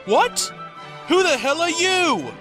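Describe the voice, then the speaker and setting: A prissy, nerdy young cartoon lawyer, acted by a man, with an extremely high male voice. He sounds whiny and prim, quick to take offence, over clear background music. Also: big pitch swings, exaggerated voice. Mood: indignant, flustered. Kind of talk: comedic parody cartoon dub. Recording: music behind the voice.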